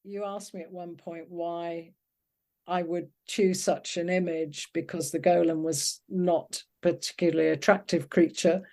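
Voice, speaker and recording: An older woman talking reflectively in an interview, thoughtful and often pausing to search for her words. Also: lowish voice, level tone, warm tone. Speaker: interviewed poet.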